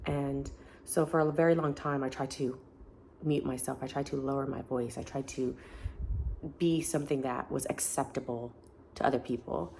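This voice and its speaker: Woman vlogger, low gravelly voice, chatty and encouraging, filler-heavy. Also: fairly even intonation, moderate pace, darkish tone, a little hiss or hum. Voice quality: deep voice. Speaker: self-help vlogger. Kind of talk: casual talk to camera. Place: family home, indoors.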